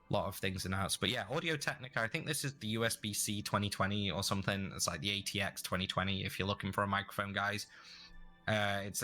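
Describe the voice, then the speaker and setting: A man's voice: deep voice, pushed and bright. A man chatting as a podcast guest about streaming, rambling with frequent restarts. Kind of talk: casual interview chat.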